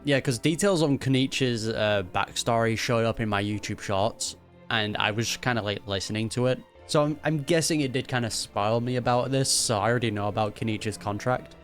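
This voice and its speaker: A man's voice: mid-range voice, moderate pace, faint music behind. A man giving casual, laid-back reaction commentary as he plays through a video game. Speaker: gaming reaction streamer.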